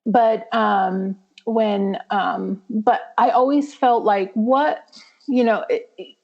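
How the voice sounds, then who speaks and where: A woman's voice: mid-range voice, slow. Earnest woman host, slightly muffled sound. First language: Romanian. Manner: earnest, rambling with fillers. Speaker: podcast host. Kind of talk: casual conversation.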